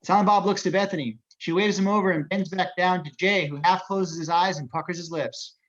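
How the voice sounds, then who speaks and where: A man's voice: high voice, fairly even intonation, fairly quick, fairly bright tone, muffled recording. A man narrating the action of a script as reader of stage directions in an amateur table reading.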